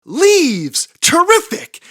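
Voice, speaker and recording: A woman's voice: very high-pitched, wildly swooping pitch, very slow, sharp tone, voice pushed up, exaggerated voice. A woman voicing a gleeful, selfish porcupine in a storybook, exclamatory and snuffling. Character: gleeful, selfish porcupine wanting to keep warm.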